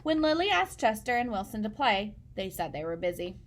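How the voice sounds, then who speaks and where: A woman reading a children's picture book aloud in an expressive storytelling style, speaking as if to young listeners. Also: medium pitch, a little fast, slight background noise.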